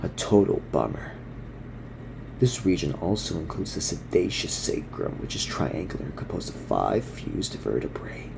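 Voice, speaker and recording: A man voicing a laid-back gorilla surfer dude who teaches in slang, in a gravelly, breathy half-whisper for an ASMR parody. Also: sits low, noisy background, exaggerated voice. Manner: surfer slang, soothing instructional delivery.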